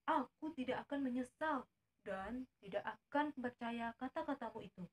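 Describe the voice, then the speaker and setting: A woman's voice: on the high side, normal speed, natural voice. A woman voicing a hungry, gullible tiger, king of the forest, in a simply told children's story. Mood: doubtful.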